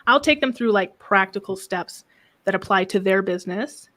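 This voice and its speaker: A soft-spoken woman business coach talking calmly in a casual interview, often ending thoughts with a questioning "right?". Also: mid-range voice, normal speed. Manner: calm, reflective, frequent "right?" tags.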